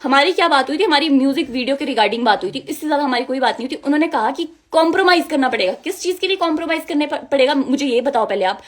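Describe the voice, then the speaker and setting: A woman's voice: high-pitched, animated, fast, few pauses. A young woman, giving an indignant, emphatic personal account straight to her viewers. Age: young adult. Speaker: music video model.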